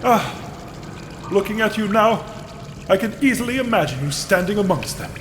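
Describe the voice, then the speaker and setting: A man's voice: high-pitched, animated, moderate pace. A man voicing a courteous, nostalgic knight creature from a video game, speaking in a lofty, formal, wistful way. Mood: wistful, admiring. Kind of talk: video game character voice acting. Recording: echoing cave reverb, dripping water noise.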